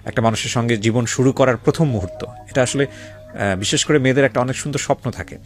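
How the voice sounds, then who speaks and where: Man, Bangladeshi accent, radio host, quick delivery, conversational and reflective, code-switches into English, music behind. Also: mid-range voice. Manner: mixes English phrases into speech. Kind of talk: conversational interview with reflective commentary.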